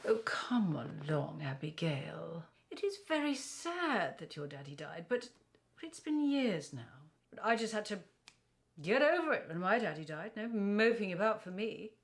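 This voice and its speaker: Catty, animated British aunt. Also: female voice, mid-range voice, measured pace, vocal fry. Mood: bitter, catty. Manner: theatrical, catty, gleefully scornful. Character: haughty, gossipy, spiteful middle-aged aunt at family quiz. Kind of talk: interactive film acting.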